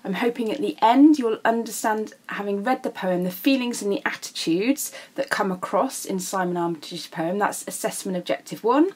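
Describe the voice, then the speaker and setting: A woman's voice: mid-range voice, darkish tone, slightly rough. A woman with a British accent, an English teacher explaining step by step in a narrated video lesson. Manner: explanatory, signposting each step.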